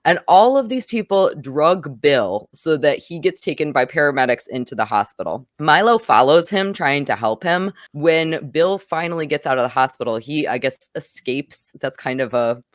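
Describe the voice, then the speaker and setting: A woman's voice: deep voice, animated, moderate pace, hardly any pauses, no room sound, clean studio sound. A woman hosting a podcast, chatting casually and recounting a film in detail. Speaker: podcast host. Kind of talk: casual film review chat.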